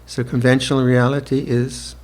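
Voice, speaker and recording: A monk in his seventies gives an evening dharma talk, speaking slowly and reflectively with gentle restarts and soft laughter. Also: mid-range voice, level tone. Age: 70s. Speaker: monk teacher. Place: retreat hall with listeners.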